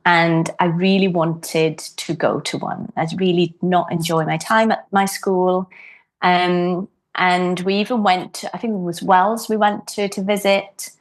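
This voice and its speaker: Low-voiced woman, reflective. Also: fairly even intonation, a little slow. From Wales. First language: Welsh. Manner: thoughtful, frequent ums and restarts. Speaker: guest harpist. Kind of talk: reflective podcast interview.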